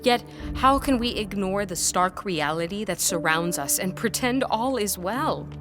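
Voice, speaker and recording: A woman acts out an earnest, defiant historian in an audio drama. Her mid-pitched voice is articulate and deliberate, with music clearly playing behind it. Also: lively intonation, lightly acted. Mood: impassioned, urgent. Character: defiant, earnest female scholar-historian on trial. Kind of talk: scripted audio drama acting.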